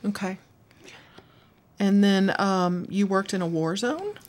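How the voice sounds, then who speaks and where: A woman hosts a radio health interview show and keeps it moving with brisk, short prompts. Her questions and acknowledgments are quick and to the point. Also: mid-range voice, darkish tone. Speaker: radio talk show host.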